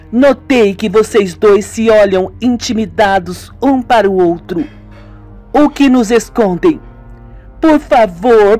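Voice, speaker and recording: A man voicing an angry, grieving young woman in a radio soap, high and tearful. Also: darkish tone. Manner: tearful, furious outbursts.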